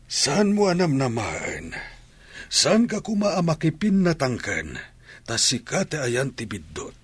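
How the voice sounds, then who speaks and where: A man's voice: a little high, wildly swooping pitch, takes it slowly, breathy edge, exaggerated voice. A man acting a reproving older father and grandfather in an Ilocano radio drama. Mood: reproachful.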